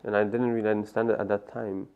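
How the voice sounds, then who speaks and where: Guy, super low gravelly voice, kinda hesitant, muffled recording. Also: flat delivery, quick pace. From Afghanistan. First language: Farsi. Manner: hesitant, many fillers and restarts. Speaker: interview guest. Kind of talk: personal story interview. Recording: muffled lo-fi sound.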